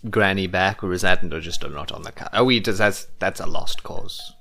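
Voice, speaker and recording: A man chatting casually as a player in a tabletop game, with halting, hesitant phrasing. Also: low-pitched, gravelly, pushed and bright, faint music behind. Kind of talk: casual improvised game chat.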